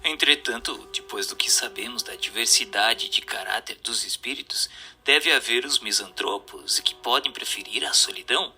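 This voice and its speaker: A man's voice: medium pitch, normal speed, bright, forceful tone. A man with a Brazilian accent reading scripted questions as a narrator. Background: music.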